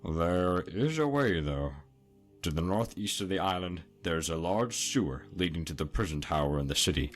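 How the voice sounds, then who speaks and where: World-weary male guide for a fantasy game: extremely low register, matter-of-fact, grim. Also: expressive, normal speed, soft background music. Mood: grimly helpful. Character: world-weary local man giving grim warnings to a traveler. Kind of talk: video game dialogue.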